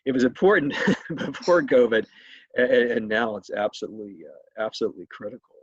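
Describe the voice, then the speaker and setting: A man conducting a conversational interview as host, speaking haltingly and often repeating his words. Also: mid-range voice, animated, breathy edge. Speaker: state legislator hosting an interview. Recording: roomy, echoey, muffled video call sound.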